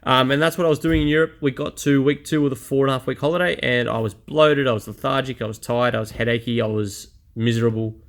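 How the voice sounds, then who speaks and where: A man talks straight to the camera in a personal vlog. His delivery is blunt, chatty and a little self-deprecating, and his voice sits at an ordinary middle pitch for a man. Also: fairly even intonation, fast, gravelly, hardly any pauses. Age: 30s. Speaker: vlogger. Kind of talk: candid personal vlog monologue.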